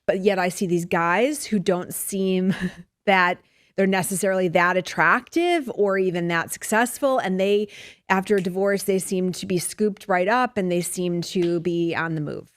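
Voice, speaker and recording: A woman's voice: fairly low pitch, measured pace. Attentive woman podcast host. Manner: attentive, encouraging with frequent mm-hmms. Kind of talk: casual interview chat.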